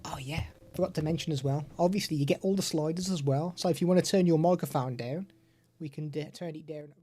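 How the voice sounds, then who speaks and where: A British man hosts a live stream, walking through a product demo in a chatty, step-by-step way. His voice is mellow and soft-edged, and he turns aside to chat with viewers between explanations. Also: a little high, fast talker, breathy edge. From United Kingdom.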